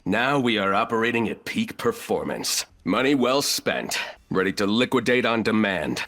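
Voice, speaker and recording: An actor playing an arrogant, ruthless, calculating corporate villain in a video game, speaking in clipped, condescending lines. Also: male voice, lowish voice, expressive, moderate pace, a bit bright. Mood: smug satisfaction.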